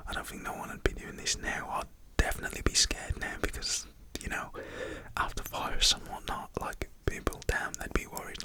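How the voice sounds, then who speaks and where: A young man telling a story in a casual, rambling whisper, full of fillers. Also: rough recording.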